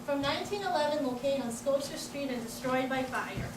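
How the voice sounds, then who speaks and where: A woman's voice: sits high, fairly quick, natural voice. A woman playing a showboating, mock-modest boxing champion puppet, bantering in a scripted show for children. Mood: chiming in. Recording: echoey, distant, noisy room.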